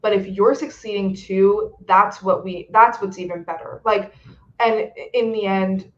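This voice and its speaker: A woman's voice: medium pitch, fairly even intonation, unhurried. Earnest young woman on a dull line. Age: young adult. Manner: earnest, frequent "like" fillers. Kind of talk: webcast discussion. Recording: dull lo-fi audio.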